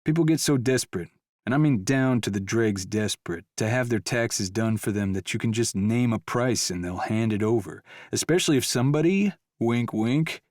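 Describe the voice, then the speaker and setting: An actor playing a laid-back stoner accountant, chatty, slangy and jokey, in a full-cast audiobook. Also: male voice, on the low side, a little mellow, dry recording, clean studio sound, natural voice.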